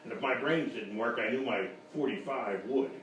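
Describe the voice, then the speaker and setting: An actor playing a boastful, bumbling private eye, narrating in blustering deadpan in a parody of old radio detective drama. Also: male voice, mid-range voice, normal speed, close to an everyday voice. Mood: tough, self-important. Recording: very echoey, distant, noisy live stage recording.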